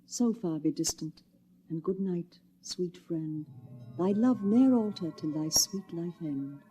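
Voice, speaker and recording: A woman's voice: fairly low pitch, takes it slowly, over music. A woman playing Hermia, a young woman speaking earnest verse. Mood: gentle. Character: young woman Hermia, modest then frightened. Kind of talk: stage drama acting.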